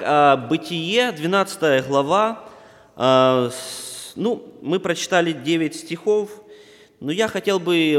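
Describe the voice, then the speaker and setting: Slightly hoarse man teaching in a hall. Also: mid-range voice. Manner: halting, many restarts and filler sounds. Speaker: Bible study leader. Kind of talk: informal Bible teaching.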